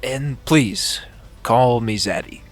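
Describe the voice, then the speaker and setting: A man's voice: medium pitch, slow pace, soft background music, lightly acted. A man playing a bumbling hammy police commissioner. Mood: flustered. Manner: hammy, rambling, breaks into laughs. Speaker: podcast co-host. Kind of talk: comedic script reading.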